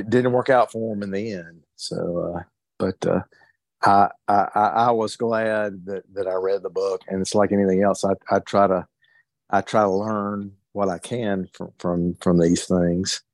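Halting, low-pitched man in casual discussion. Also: a little mellow, no echo. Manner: halting, heavily stammered repetitions of words. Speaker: book group member.

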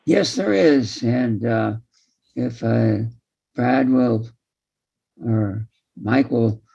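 A man in a casual group discussion, talking in a halting way and repeating words. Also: medium pitch, gravelly, warm tone, breathy edge. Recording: slightly dull lo-fi call audio.

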